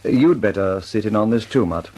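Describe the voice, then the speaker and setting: A man's voice: lowish voice, lively intonation, moderate pace, mellow. An actor playing a fussy, anxious older male bomb inventor in a 1940s radio drama. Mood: fretful.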